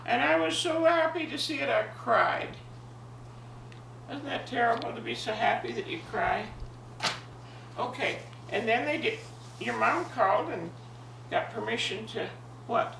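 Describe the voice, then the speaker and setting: A woman of ninety, a grandmother with a jovial, expressive manner, talking and telling stories to her family. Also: a little high, a little slow, vocal fry, pauses often. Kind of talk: casual reminiscing and animated story reading for family. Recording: echoey, distant home recording with faint noise.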